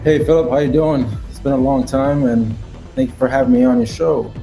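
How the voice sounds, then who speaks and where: A man's voice: medium pitch, a little fast, gravelly, warm tone, faint music behind, rough recording. A man with an American accent, telling his story casually as a podcast guest. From Connecticut, United States. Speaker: podcast guest, cybersecurity professional.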